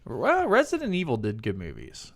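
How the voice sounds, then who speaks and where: A man's voice: mid-range voice, wildly swooping pitch, moderate pace, creaky, no room sound, clean studio sound. A man co-hosting a casual podcast, cutting in with crude jokes and frequent swearing. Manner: crude, jokey interjections, swears a lot. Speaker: podcast co-host.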